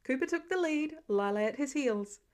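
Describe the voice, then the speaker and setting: High-voiced woman reading a story. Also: expressive, moderate pace, darkish tone. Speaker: audiobook-style fan fiction reader. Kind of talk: expressive scripted story narration.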